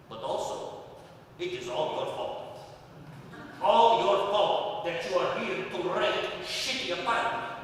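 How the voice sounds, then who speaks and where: A mournful old landlord. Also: male voice, high-pitched, a bit bright, a little hiss or hum, exaggerated voice. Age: older. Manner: broken English, slow mournful musing, muttered asides. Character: gloomy older male immigrant landlord. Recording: echoey distant sound with long reverb.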